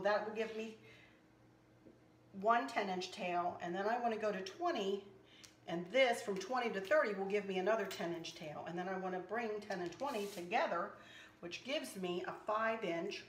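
Woman, slightly hoarse, chatty craft host, roomy echo. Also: medium pitch, slight background noise. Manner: chatty, self-teasing, talks while working. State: a little hoarse. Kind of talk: casual craft tutorial. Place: kitchen counter indoors.

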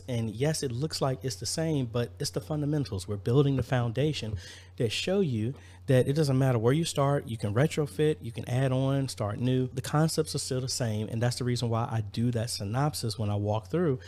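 A man's voice: mid-range voice, a little fast, darkish tone. Casual man explaining a tech setup. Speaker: church audio-video installer and vlogger. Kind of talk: casual explanatory vlog walkthrough.

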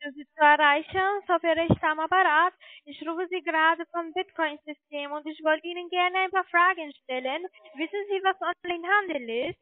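A very high-pitched woman speaks over a dull phone line. She is a telemarketer, explaining insistently and checking that the listener agrees. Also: steady tone, moderate pace, hardly any pauses, dry recording. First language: not German. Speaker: telemarketing caller pitching investments. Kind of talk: improvised phone sales pitch. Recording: muffled narrow-band phone call.

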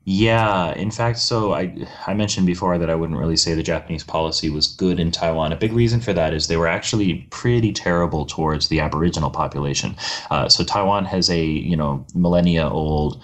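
A man, a guest historian on a podcast interview, giving long, measured explanations full of "you know" and "uh". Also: low-pitched.

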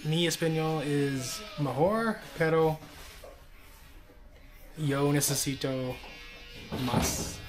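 A man's voice: on the high side, extremely slow, long pauses, over music. A man in his thirties, a travel vlogger, talking to camera from a barber's chair, a bit out of breath, mixing in broken Spanish. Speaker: travel vlogger getting a haircut. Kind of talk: casual talk to camera.

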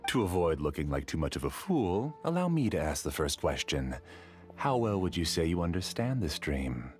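An actor playing a formal, self-assured, obsessive scholar who rules a dream world in an anime game. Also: male voice, low voice, very animated, normal speed, darkish tone, soft background music. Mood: amused, admiring. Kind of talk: anime video game voice acting.

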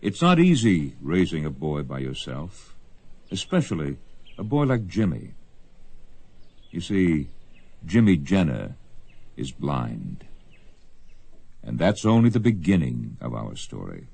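A man's voice: extremely low pitch, big pitch swings, slow, vocal fry, pauses often, darkish tone, breathy edge, no room sound, exaggerated voice. A man narrating a radio western as a solemn storyteller, with music behind him. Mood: solemn, measured. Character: solemn storyteller of an Old West tale.

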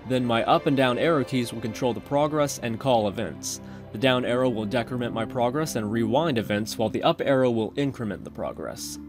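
A man with a medium-pitched voice narrates a screen-recorded tutorial. He talks through each step informally, like a chatty host explaining his own work. Music plays clearly behind his voice.

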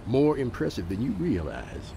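A man's voice: mid-range voice, huge pitch swings, moderate pace, creaky. An actor playing a blunt, wry man telling a story in an audio drama. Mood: matter-of-fact. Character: blunt, wry man recounting a church betrayal. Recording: noisy outdoor urban traffic ambience.

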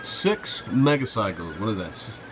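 A man's voice: on the low side, big pitch swings, normal speed, background noise. A man thinking aloud informally as he gives a casual home demonstration as a radio hobbyist, sometimes talking aside to a child. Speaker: amateur radio hobbyist filming a video. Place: small room at home, indoors. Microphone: handheld phone or camera, held by speaker. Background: shortwave radio sounds and music.

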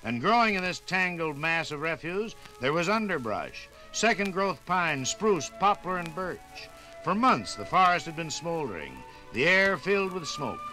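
Dramatic high-voiced American radio narrator man. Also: very animated, sharp tone, poor audio. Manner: dramatic, deliberate storytelling. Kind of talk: 1950s radio documentary scripted narration. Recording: vintage 1950s radio LP. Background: dramatic music.

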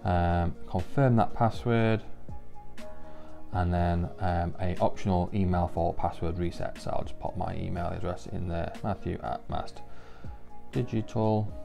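A man's voice: sits low, unhurried, vocal fry, mellow, faint music behind. A young British man giving an informal, plain-spoken product walkthrough, explaining things step by step in an indoor office. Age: young adult. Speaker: technical manager presenting a product walkthrough. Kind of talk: informal instructional product demonstration. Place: indoor office, seated at a table.

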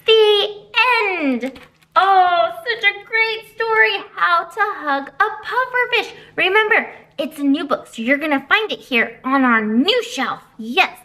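Woman, children's librarian, very high voice, bright and lively, storytime to kids, webcam in a library room. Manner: animated, sing-song teacher voice. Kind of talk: storytime reading and announcements for kids.

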